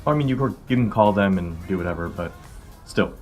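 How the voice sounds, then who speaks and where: Young American male vlogger, early twenties, lowish pitch, informal explainer delivery, music underneath. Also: animated, a little fast. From California, United States. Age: early 20s. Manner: informal, conversational. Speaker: car vlogger. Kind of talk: casual explanatory vlog talk to viewers. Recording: music behind the voice. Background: background music.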